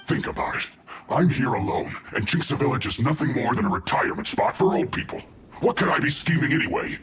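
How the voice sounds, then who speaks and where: A man's voice: mid-range voice, expressive, a little fast, fairly bright tone, lightly acted. Guy playing whiny picked-on soldier in a game, voice jumps up and down, exasperated, slight noise behind. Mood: defensive, pleading. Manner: pleading, exasperated, theatrical. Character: bullied, indignant, whiny male soldier. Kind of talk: video game voice acting.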